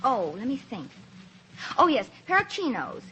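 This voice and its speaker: A woman's voice: fairly high pitch, huge pitch swings, a little slow, a bit bright, dull audio, full character voice. A woman playing a friendly, candid young office secretary in old-time radio drama style, chatty and polite. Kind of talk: 1940s-50s radio drama acting. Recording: noisy vintage radio drama recording.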